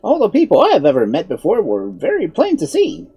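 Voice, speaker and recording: A narrator acts out a courteous male wizard from a children's tale. He sounds husky and careful, with lively rises and falls as he explains himself. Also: medium pitch, brisk, voice pushed up, full character voice. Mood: polite. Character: puzzled, polite, cautious male wizard in a fairy tale.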